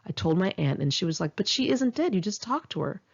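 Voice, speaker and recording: A woman's voice: mid-range voice, sing-song, a little fast, vocal fry, breathy edge, muffled recording. A woman reads her own first-person memoir in a personal, conversational storytelling way. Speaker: author reading own memoir. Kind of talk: first-person memoir narration, audiobook read in one take.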